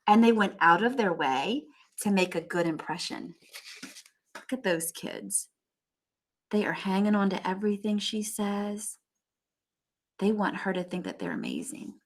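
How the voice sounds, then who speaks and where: A woman reading a picture book aloud in an expressive, animated teacher's manner, with asides to young listeners. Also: medium pitch. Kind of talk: expressive children's story read-aloud.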